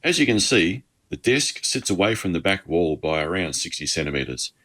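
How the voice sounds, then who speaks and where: A man's voice: sits low, very animated, normal speed, a bit bright. An Australian-accented man giving an informal instructional tour as a hobbyist. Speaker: amateur radio hobbyist.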